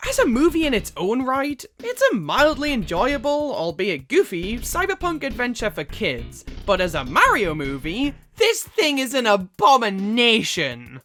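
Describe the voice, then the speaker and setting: A woman's voice: fairly high pitch, fairly bright tone. A woman delivering scripted video essay commentary as a reviewer, opinionated and emphatic in a casual way.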